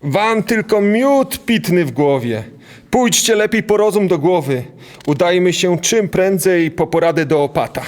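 Man acting gruff, scolding old monk. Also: lively intonation, unhurried. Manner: booming, scolding. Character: gruff old monk, level-headed, scolding his brothers. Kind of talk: audio drama acting.

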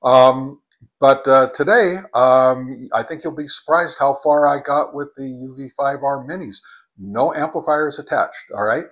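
An older man in his sixties walks through a radio test in a loose, rambling way, filling gaps with plenty of ums and uhs. His voice sits at a middle pitch and is picked up close on a handheld camera with a dry, unreverberant sound. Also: measured pace, no echo, rough recording. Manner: rambling with many fillers. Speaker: ham radio reviewer. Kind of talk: casual hands-on radio review. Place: home radio shack, then outdoors in a park, then in a truck. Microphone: handheld camera at arm's length.

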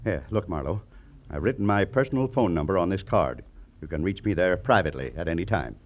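A man's voice: low-pitched, expressive, fairly quick. An actor playing a brusque, worried and wealthy uncle, a commanding man in a 1950s radio detective drama. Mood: insistent. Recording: muffled vintage radio recording.

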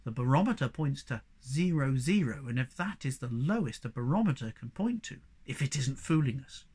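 A woman voicing a serious, decisive storybook father troll in a grave, measured way. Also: a bass voice, expressive, warm tone, slightly rough, full character voice. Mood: informative.

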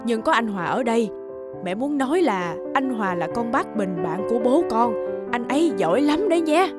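Fussy middle-aged cartoon mom, exclaims a lot, northern vietnamese accent, music behind. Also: female voice, a little high, sing-song, normal speed. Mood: impressed, eager. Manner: exclamatory, probing, lamenting. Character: fretful middle-aged mother, quick to scold. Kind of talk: animated cartoon dialogue.

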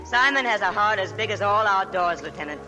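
An actress playing a cool, worldly woman who runs a nightclub, brisk and dry, in a 1940s radio drama. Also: medium pitch, expressive, natural voice. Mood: businesslike. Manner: brisk, dry, matter-of-fact. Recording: 1940s radio broadcast with music behind.

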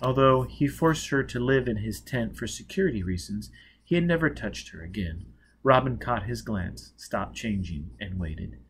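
A man in his thirties reading a novel aloud as an expressive narrator. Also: on the low side, moderate pace, slight background noise. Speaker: audiobook reader. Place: small indoor space with a dark curtain backdrop.

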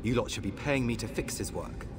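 A man's voice: medium pitch, lively intonation, fairly quick, noisy background, close to an everyday voice. A man voicing an arrogant young elf student of magic who sneers with contempt at his teachers. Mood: contemptuous. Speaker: video game character. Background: loud game music.

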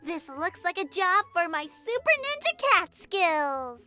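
A tiny cartoon kitten girl, extremely high and bright. She is naive, sweet and excitable, with a childlike, cutesy delivery and lively swings over faint music. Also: measured pace, full character voice. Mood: excited, delighted. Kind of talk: comic dub cartoon acting.

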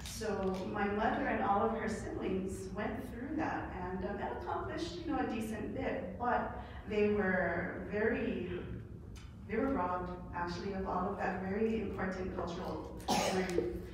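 A woman in her forties speaks earnestly as a panelist. She is heard from across a very echoey hotel meeting room, with clear background hum. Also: mid-range voice, unhurried. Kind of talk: panel discussion talk. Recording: echoey hall from far off.

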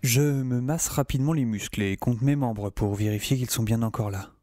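A man's voice: mid-range voice, moderate pace, darkish tone, no echo, lightly acted. A man playing a wry, self-mocking survivor who narrates his misadventures in an audio serial with sardonic humour.

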